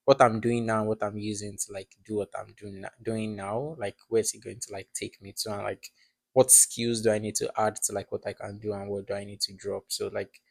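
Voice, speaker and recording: Brief: young male podcast host, lowish voice, dry close sound, meandering filler-laden chat. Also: flat delivery, brisk, gravelly, a little mellow, no echo. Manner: rambling, filler-heavy, reflective. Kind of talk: casual chat.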